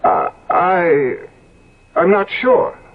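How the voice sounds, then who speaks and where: An actor playing an impressionable, vain and nervous young gentleman in a 1970s radio drama, stammering and hesitant as he speaks. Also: sits high, very animated, very slow, exaggerated voice. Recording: dull lo-fi old radio broadcast.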